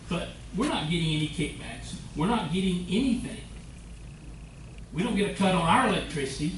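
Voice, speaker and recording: An older man on a city council, speaking loudly and earnestly in defense of himself at a meeting. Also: sits high, animated, normal speed. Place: council chamber. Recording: echoey room, far mic, noisy.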